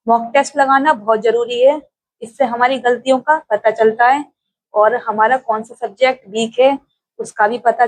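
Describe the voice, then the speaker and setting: A young woman from India speaks to her viewers in a relaxed, unscripted vlog. Her voice sits a little high, and she talks in a plain, steady way with frequent small hesitations. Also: rough recording. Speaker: exam-prep YouTuber. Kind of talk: casual spoken vlog.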